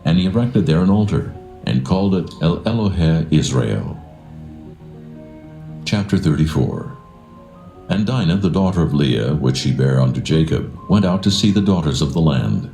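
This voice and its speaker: A man narrating scripture in a dramatised reading, solemn and measured. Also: a bass voice, very animated, creaky. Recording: roomy echo, soft music behind.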